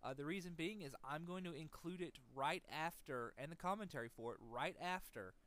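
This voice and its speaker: A man giving casual, unscripted video game commentary, speaking haltingly, hedging and repeating words as he thinks. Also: on the high side, a bit bright. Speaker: let's play commentator.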